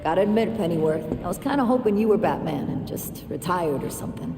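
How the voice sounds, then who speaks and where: Low-voiced, wry American cop. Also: female voice, lively intonation, quick pace, far from the mic, music in the background. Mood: dry, businesslike. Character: wry, no-nonsense female police detective. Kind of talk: video game cutscene acting. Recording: echoey hall with score behind.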